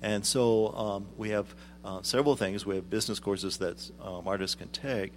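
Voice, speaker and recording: A middle-aged man, a guest on a radio interview, explaining things in a measured way with frequent ums. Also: lowish voice, fairly even intonation, a little hiss or hum. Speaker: guest, arts executive director.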